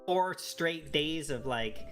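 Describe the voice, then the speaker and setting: A man's voice: a little high, animated, slow pace, fairly bright tone, soft background music. A man chatting casually and enthusiastically as a livestream co-host, rambling along in a loose, easygoing flow. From Canada. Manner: enthusiastic, rambling, chuckles often. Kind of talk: casual chat.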